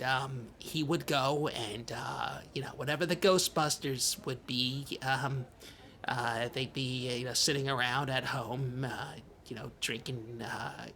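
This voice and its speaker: Man playing timid small-town podcast host, bright voice, childlike earnestness, stammering, rambling improv comedy. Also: mid-range voice.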